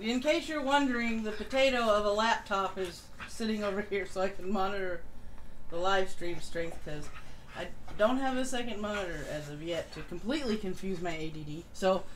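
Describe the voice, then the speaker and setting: A woman's voice: mid-range voice, poor audio. A woman co-host giving casual commentary on a live stream. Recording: home live stream with background noise.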